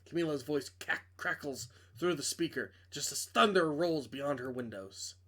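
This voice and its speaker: A man's voice: fairly high pitch, normal speed, sharp tone. A man reading a visual novel aloud as dramatic narration, with off-the-cuff asides and stumbles that he corrects. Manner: stumbles over words and corrects himself.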